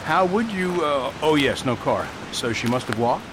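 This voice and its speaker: A man playing a gentle, thoughtful priest in an amateur radio drama, speaking mildly and politely. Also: fairly high pitch, sing-song, moderate pace, background noise. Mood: calm. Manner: mild and polite.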